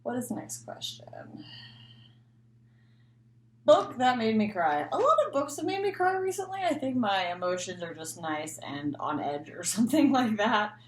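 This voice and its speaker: A woman's voice: fairly high pitch, big pitch swings, normal speed. A woman talking casually and unscripted as a book vlogger, rambling and often interrupting herself. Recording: echoey room, faint background noise.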